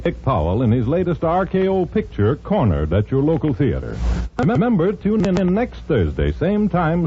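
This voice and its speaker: A man's voice: fairly high pitch, slight background noise. An American man working as a radio announcer, reading scripted sign-offs and sponsor copy in 1940s style. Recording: muffled 1940s radio broadcast, music behind.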